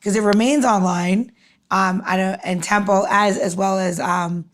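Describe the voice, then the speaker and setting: Lady podcast host, mid pitch, chatty, rambles around her questions. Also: steady tone, measured pace. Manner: rambling, circling phrasing. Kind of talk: casual chat, asking questions.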